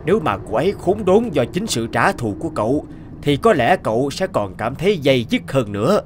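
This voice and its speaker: Man voicing a calm, wise older advisor. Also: mid-range voice, fairly quick, background noise, lightly acted. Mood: counseling. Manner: gentle, probing questions. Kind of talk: cartoon voice acting. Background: background music.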